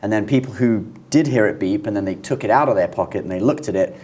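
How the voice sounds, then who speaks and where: A man's voice: lowish voice, fast, a little mellow. A man co-hosting a news discussion show in an informal, conversational and explanatory way. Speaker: show co-host.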